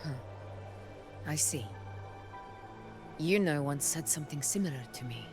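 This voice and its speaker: A woman voicing a proud, resolute warrior ruler in a video game, speaking in a formal, measured, commanding way. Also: deep voice, animated. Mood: grave, reflective. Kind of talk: dramatic game dialogue. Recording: music behind the voice. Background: background music.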